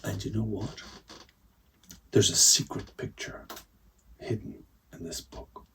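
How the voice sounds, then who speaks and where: A man reading aloud to children as a storyteller, with a husky, breathy voice and expressive, dramatic emphasis that drops into hushed asides. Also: lowish voice, slow pace, slight background noise. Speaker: author-illustrator reading own picture book.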